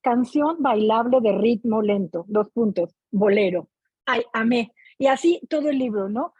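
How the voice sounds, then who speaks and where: A middle-aged Mexican woman with a fairly high, very husky voice talks casually and expressively about books. She often tags her sentences to check for agreement. The audio is muffled and echoey, like an online meeting. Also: measured pace. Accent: Mexican Spanish. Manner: chatty, expressive, often seeking agreement with tag questions. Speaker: reading club participant. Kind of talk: casual spoken book commentary.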